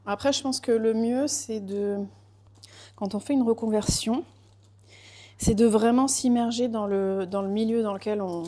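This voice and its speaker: A woman's voice: fairly high pitch, steady tone, measured pace, mellow. A woman chatting casually, hesitant, with frequent fillers and restarts. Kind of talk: casual chat. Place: lives in Portugal.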